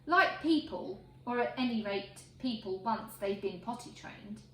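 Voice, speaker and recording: A woman reading a picture book aloud to children in an expressive, emphatic way. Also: fairly high pitch, unhurried. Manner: expressive, emphatic phrasing for young listeners. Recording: roomy echo, faint background noise.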